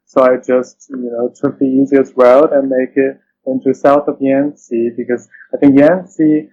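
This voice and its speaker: A man's voice: mid-range voice, level tone, unhurried, mellow, dull audio, a little hiss or hum. A man speaking as an interview guest and translator, thoughtful and hesitant, often rephrasing as he reflects.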